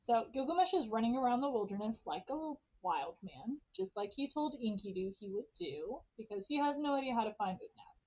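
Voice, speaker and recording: A woman's voice: a little high, lively intonation, brisk, hardly any pauses, slightly rough, muffled recording. A woman hosting a podcast, retelling a story in a casual, irreverent way with slang and swearing. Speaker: podcast host telling a story.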